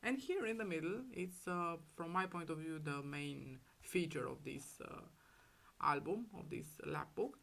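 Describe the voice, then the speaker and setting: A woman with a cold and a raspy, hoarse voice, showing off a handmade photo album in casual, halting talk full of ums. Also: low voice, animated, a bit bright. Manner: hesitant, many ums, fond and gushing. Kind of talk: casual craft show-and-tell.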